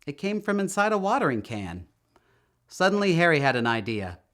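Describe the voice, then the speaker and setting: Expressive male storybook reader, very lively pitch. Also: moderate pace. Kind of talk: read-aloud children's story narration.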